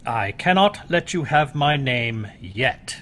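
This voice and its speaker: Commanding inspector, unhurried, pointed, lively pitch, faint noise, radio mystery. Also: male voice. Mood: shrewd suspicion. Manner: clipped, wry, pointed. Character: shrewd, commanding male police inspector. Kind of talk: radio mystery drama acting.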